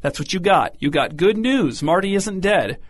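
A woman's voice: on the low side, animated, normal speed, slightly rough, no echo, clean studio sound, pitched above the performer's own voice. Man doing a firm, businesslike mother. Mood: defensive. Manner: firm, trying to sound brave. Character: practical middle-aged mother, businesslike, uneasy.

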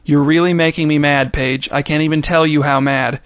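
A man voicing an injured father who shouts at his daughter, raw with pain and anger. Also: on the high side, fairly even intonation, a little fast, dry recording, clean studio sound, close to an everyday voice. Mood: angry. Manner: shouting, strained. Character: grown man playing an injured, furious father.

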